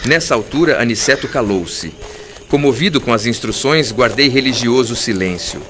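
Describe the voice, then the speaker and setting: A man's voice: medium pitch, expressive, moderate pace, background noise. A man narrating an audiobook in the first person, in a formal, literary and solemn manner.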